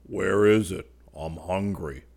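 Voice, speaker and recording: A man's voice: sits low, takes it slowly, vocal fry, a bit bright, full character voice. Man putting on a sheepish, moaning stout glutton. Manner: hesitant, moaning, affected.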